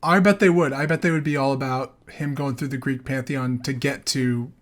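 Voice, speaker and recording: A man's voice: mid-range voice, fairly even intonation, normal speed. A man co-hosting a podcast, running through entertainment news in casual, enthusiastic chat with some easy swearing. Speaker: podcast co-host.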